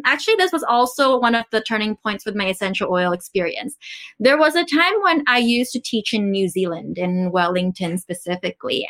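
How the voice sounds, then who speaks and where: Woman guest, grew up in the philippines, chatty, laughs a lot, kinda high voice. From Taiwan, raised in the Philippines. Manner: animated storytelling, laughs between phrases. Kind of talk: conversational interview.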